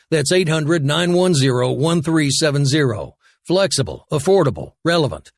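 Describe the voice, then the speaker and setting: A man reads a radio ad in an earnest, pitching tone. His voice sits a little high for a man, and the recording is dry and close. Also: no echo. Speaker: ad announcer. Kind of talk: radio ad read.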